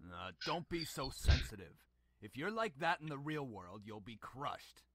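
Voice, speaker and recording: A man's voice: medium pitch, sing-song, unhurried, a bit bright. A man playing a stern, contemptuous middle-aged father in a game, with a scolding, dismissive manner. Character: stern, domineering, contemptuous father of a grown daughter. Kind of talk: dramatic game voice acting.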